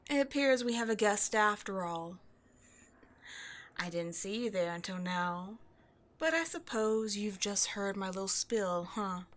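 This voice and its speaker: A woman's voice: medium pitch, a bit bright, natural voice. A woman playing a petty, theatrical ghost from an old horror film in a scripted comedic audio roleplay, mock-sinister and easily exasperated. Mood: sly, mock-menacing.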